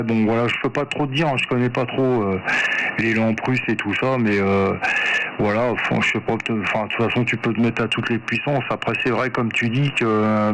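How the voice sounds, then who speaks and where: A man in a casual, rambling, colloquial chat as a radio operator on a two-way radio, often correcting himself. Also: on the low side. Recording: noisy two-way radio audio, static.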